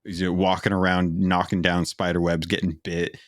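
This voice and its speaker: A man's voice: deep voice, completely flat delivery, moderate pace, dry recording, clean studio sound. A man co-hosting a gaming podcast, chatty and eager, with lots of fillers as he recommends games. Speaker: podcast co-host. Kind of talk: casual podcast chat.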